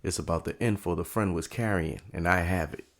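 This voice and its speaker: A man's voice: deep voice, brisk. A man playing a guarded, plain-spoken barbershop owner standing firm against mobsters in an audio drama. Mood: calm, resolute.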